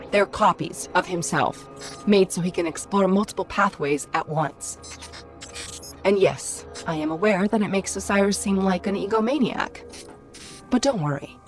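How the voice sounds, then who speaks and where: A woman voicing a quick-witted, brash female robot companion in a video game, chatty and emphatic. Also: medium pitch, natural voice. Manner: sassy, emphatic, conversational. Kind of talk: video game cutscene voice acting. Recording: music under the voice.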